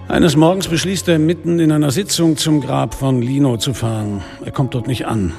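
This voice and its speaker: Schauspieler als knarziger, müder Kommissar mit Musik. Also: male voice, medium pitch, creaky, lightly acted. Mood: resigned narrating. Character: weary, sardonic middle-aged police inspector telling his story. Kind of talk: first-person radio drama narration and dialogue.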